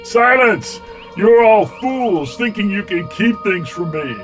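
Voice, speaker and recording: A man's voice: sits high, expressive, slow pace, over music, voice pushed up. A man voicing a smug, cruel cult tyrant in an audiobook, speaking in a pompous, commanding and mockingly formal way. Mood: furious, threatening. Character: menacing male cult tyrant, smugly cruel, imperious. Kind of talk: theatrical audiobook villain.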